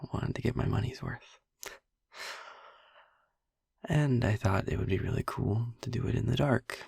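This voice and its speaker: Man acting as a husky, hesitant vampire boyfriend. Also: dry recording, clean studio sound, exaggerated voice. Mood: wistful, reminiscing. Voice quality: husky, gravelly, breathy low voice. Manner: hesitant, halting, soft-spoken, confiding. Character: gentle young-looking male vampire boyfriend confiding painful past. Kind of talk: intimate audio roleplay monologue.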